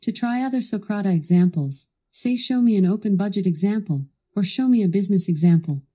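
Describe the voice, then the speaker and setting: Very bright synthetic-style female assistant voice. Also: mid-range voice, brisk. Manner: clearly enunciated, instructional, polished. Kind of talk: scripted narration for a product demo.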